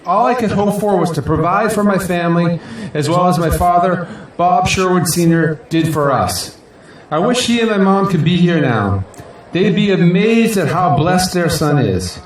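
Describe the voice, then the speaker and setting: A man's voice: high-pitched, animated, normal speed. An actor playing a proud, idealistic male politician in an audio drama, giving a grandiose, earnest victory speech in declamatory rally style. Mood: humble, proud. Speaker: newly elected city council president. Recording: echoey hall, distant mic, crowd noise. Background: crowd and faint noise.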